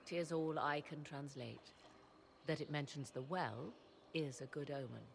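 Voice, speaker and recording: A woman playing a proud, learned witch in a fantasy game, with formal, archaic and measured speech. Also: low-pitched, creaky, a little hiss or hum. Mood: grave, intrigued. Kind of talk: dramatic fantasy game acting. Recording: music behind the voice.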